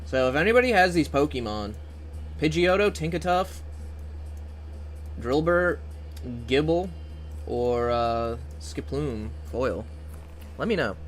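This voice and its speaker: A young man chatting casually on a livestream as he plays, trailing off into quiet, mumbled asides and swearing now and then. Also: fairly high pitch, animated, slow, pauses often, soft background music. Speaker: streamer.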